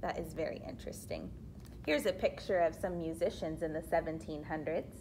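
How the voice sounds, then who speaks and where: A woman reading a children's book aloud expressively, pausing to explain things simply like a teacher. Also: low-pitched, background noise. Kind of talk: read-aloud storytelling with explanatory asides for children.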